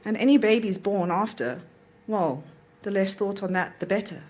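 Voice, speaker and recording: A woman's voice: mid-range voice, normal speed, darkish tone, low-quality recording. A woman reading a literary short story aloud at an event, in the narrator's voice. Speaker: author reading own story.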